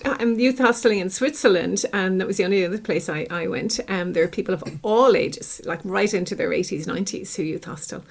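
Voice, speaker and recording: A woman's voice: medium pitch, brisk, creaky, hardly any pauses. A middle-aged woman with an Irish accent, a psychologist talking as an interview guest and explaining things through everyday examples. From Ireland. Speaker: clinical psychologist, podcast guest.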